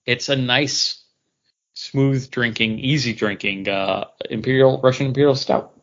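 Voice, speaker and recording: A man's voice: mid-range voice, fairly even intonation, measured pace, fairly bright tone, highs cut off. A man co-hosting a podcast, talking casually and conversationally about comics and beer. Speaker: podcast host.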